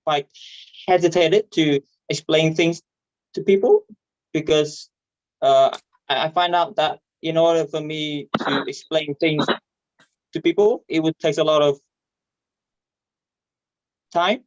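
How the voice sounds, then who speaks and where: A man from Vietnam with a Vietnamese accent and a stutter, performing stand-up comedy haltingly over a video call. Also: a little high, steady tone, no room sound. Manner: hesitant, halting delivery with repeated words. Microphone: video call microphone. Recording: dull, muffled online call audio.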